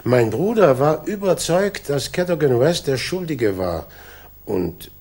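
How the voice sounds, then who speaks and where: An actor playing a stiff, grieving upper-class colonel, formal and reserved, with a gravelly, husky voice in a 1960s German radio drama. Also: male voice, fairly high pitch, very animated, measured pace, darkish tone, full character voice. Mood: guarded, formal.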